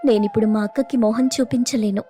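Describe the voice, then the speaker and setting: Woman acting a pleading, high-voiced young sister. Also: fairly even intonation, fairly quick, mellow, soft background music, natural voice. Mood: desperate pleading. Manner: pleading, self-reproaching. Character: remorseful, desperate young city-bred younger sister. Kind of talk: dramatised moral story acting.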